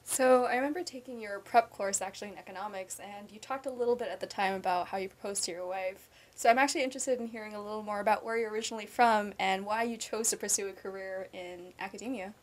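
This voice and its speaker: A woman's voice: mid-range voice, quick pace. A woman in her twenties from Arizona with an American accent, chatting and asking questions as a student interviewer. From Arizona, United States. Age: 20s. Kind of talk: casual on-camera interview.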